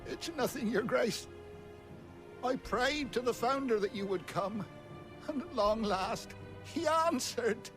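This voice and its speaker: A man's voice: extremely high pitch, lively intonation, music in the background, exaggerated voice. A man acting a frail, devoted old servant in a video game, humble and reverent in manner. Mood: hopeful. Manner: slow, reverent.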